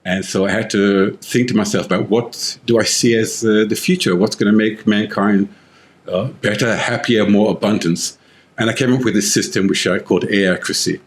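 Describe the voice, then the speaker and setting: A man's voice: on the low side, fairly even intonation, moderate pace. A middle-aged man from London with an Israeli-tinged English accent, a guest on a podcast interview, telling stories with lots of fillers and restarts. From London, England. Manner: rambling storyteller, many fillers and restarts. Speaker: author and tech founder, guest.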